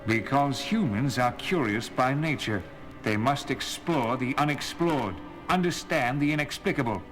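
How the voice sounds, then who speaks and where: An actor playing an imperious, persuasive alien leader in a science fiction audio drama, with a formal, declamatory delivery. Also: male voice, mid-range voice, measured pace, natural voice, low-quality recording. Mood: persuasive, grave. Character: imperious alien male leader, persuasive, ruthless, desperate to save his people. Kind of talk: dramatic sci-fi audio play acting. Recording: loud music under the dialogue.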